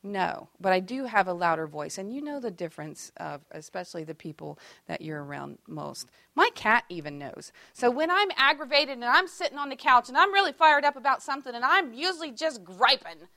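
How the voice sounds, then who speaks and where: Animated woman leading a faith workshop. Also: fairly high pitch, fairly quick, vocal fry, hardly any pauses, no room sound. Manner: animated and expressive, acting out quoted lines; chatty and self-deprecating, with asides to the group. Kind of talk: teaching a faith workshop to a group.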